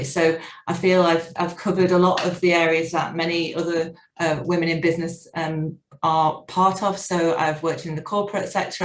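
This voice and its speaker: A woman in her forties, not local to Northern Ireland, answering interview questions in a measured way with frequent restarts. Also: sits low, echoey room, low-quality recording. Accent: non-local, unplaced. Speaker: guest, business organisation director.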